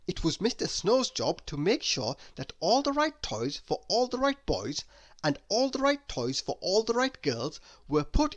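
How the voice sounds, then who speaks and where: A man reading a children's story aloud in a deliberate, expressive way, leaning on key words. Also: on the high side. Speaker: storybook reader.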